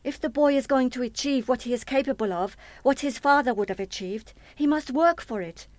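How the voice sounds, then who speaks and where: A woman's voice: high voice, brisk, no echo, lightly acted. An actress in a radio play playing a stern, insistent widow, earnest and imploring in her delivery. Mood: stern, urgent. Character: stern, insistent widow urging discipline and sacrifice.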